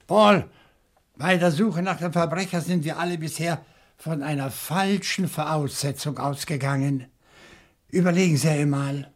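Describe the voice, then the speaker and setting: A shrewd professor sleuth in a radio crime drama. His voice is husky and sits a little high for a man. He builds his case slowly and theatrically, with an indignant edge. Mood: explaining, insistent. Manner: deliberate, theatrical, reasoning step by step. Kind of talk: German radio crime play acting.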